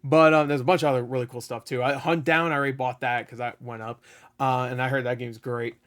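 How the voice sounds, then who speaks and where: A man hosting his own gaming channel, giving a rambling, excitable review with frequent laughs and the odd swear, his voice getting a little raspy. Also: mid-range voice, fast. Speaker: gaming video host. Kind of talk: casual unscripted review.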